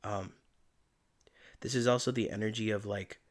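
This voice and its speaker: A man giving a casual spiritual tarot reading in a soft, meandering, reflective way, his voice tired and giving out. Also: fairly low pitch, fairly even intonation, moderate pace. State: voice giving out, tired by day's end. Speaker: tarot reader and channeler.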